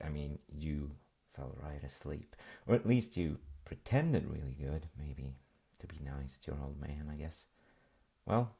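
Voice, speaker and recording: Man as affectionate bedtime dad, audio roleplay, gentle, hesitant, very deep, mellow. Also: breathy edge, exaggerated voice. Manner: soft, hesitant, murmuring.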